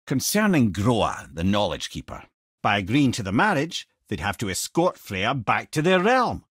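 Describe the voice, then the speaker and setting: Game casting: clever old Scottish advisor, husky voice, very expressive pitch, chatty and wry. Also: male voice, normal speed, no echo, clean studio sound, heavily acted. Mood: solemn storytelling. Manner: wry, chatty, wordy storytelling. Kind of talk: video game character dialogue.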